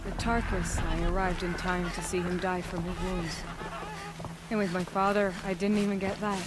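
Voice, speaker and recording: A woman's voice: on the low side, level tone, normal speed, close to an everyday voice. An actress playing a proud, embittered noblewoman hunter in a video game, speaking in an earnest, intense way. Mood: grieving, resolved. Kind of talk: dramatic video game dialogue. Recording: loud music behind the voice.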